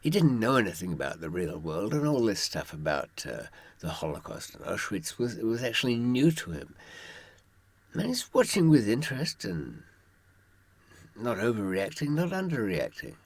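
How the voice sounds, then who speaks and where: A man's voice: lowish voice, gravelly, breathy edge, no echo. A man telling anecdotes and giving advice in an informal monologue, with wry, dry humour and asides to the listener. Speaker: author giving prison survival advice from experience.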